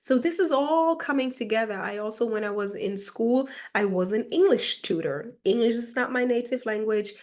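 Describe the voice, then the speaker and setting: A woman's voice: on the high side, moderate pace, few pauses, darkish tone. Chatty woman from Germany, mentor vlog. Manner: chatty, reflective, fills speech with "like". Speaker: personal mentor. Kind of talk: casual personal vlog monologue.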